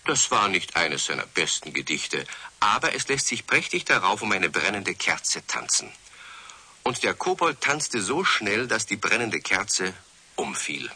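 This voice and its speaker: A man narrating a children's radio play as a lively storyteller with a husky voice. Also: fairly low pitch, a little slow, exaggerated voice. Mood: lively storytelling. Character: male storyteller of a children's tale.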